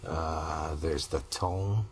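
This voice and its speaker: Slow, deep-voiced man in therapy. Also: steady tone. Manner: terse, halting. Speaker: therapy client. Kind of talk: halting answers in a therapy session. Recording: faint background noise.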